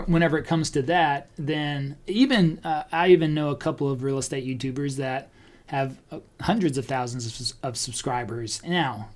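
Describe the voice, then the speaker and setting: A man talking casually as a podcast host, conversational and thinking out loud as he goes. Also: medium pitch. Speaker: podcast host and real estate agent. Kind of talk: casual talk about online video marketing.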